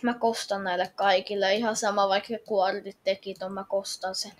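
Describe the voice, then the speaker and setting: A woman playing a game and reacting out loud in a dramatic, exclamatory, pleading way. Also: medium pitch, level tone, a little hiss or hum. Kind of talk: excitable reactive gameplay chat.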